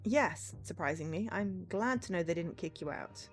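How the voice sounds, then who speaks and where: A woman's voice: lowish voice, lively intonation, normal speed, faint music behind, close to an everyday voice. A woman voicing a tough, suspicious female investigator in a cyberpunk game, speaking bluntly. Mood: friendly.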